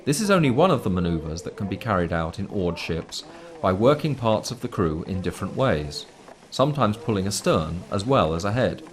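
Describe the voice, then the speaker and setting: A man reading a scripted documentary commentary in a formal, measured way. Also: deep voice, big pitch swings. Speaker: narrator.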